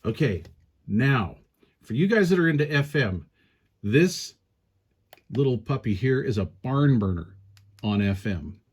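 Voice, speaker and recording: A man hosts a hands-on gear review in a mid-pitched voice. His way of talking is folksy and informal, with plenty of colloquial turns. He narrates casually, like a hobbyist showing equipment to viewers. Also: very animated, unhurried, pauses often, breathy edge. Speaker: product reviewer, channel host.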